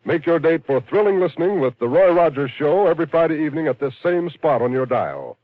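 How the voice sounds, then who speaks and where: A man's voice: fairly high pitch, big pitch swings, fairly quick, dry recording. A man delivering a polished, upbeat promotional announcement in 1950s radio style. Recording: tinny, band-limited old radio recording.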